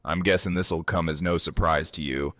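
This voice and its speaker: A man playing a gruff, teasing man with a wordy, folksy way of talking in an audio drama. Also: deep voice, fairly even intonation, normal speed, a bit bright, dry recording, studio quality. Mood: wry teasing. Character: gruff, smirking, beer-drinking man with wry humour. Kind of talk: fan audio drama acting.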